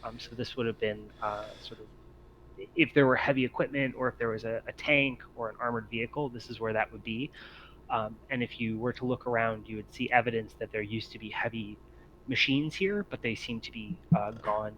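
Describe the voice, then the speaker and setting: A man narrating an improvised tabletop game as game master, feeling his way through descriptions in halting phrases. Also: mid-range voice, fairly even intonation, fairly bright tone, muffled recording, slight background noise.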